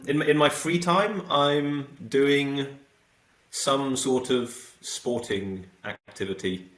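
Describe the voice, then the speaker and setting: A man's voice: medium pitch, slow, a bit bright, echoey room, rough recording. A middle-aged man from the south coast, a podcast guest talking casually with many fillers and restarts. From south coast of England.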